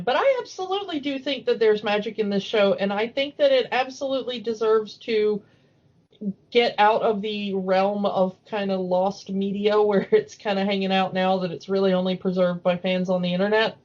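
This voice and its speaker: A woman's voice: mid-range voice, normal speed, a little mellow. A woman co-hosting a podcast in a casual, chatty discussion. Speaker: podcast co-host. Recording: dull lo-fi audio.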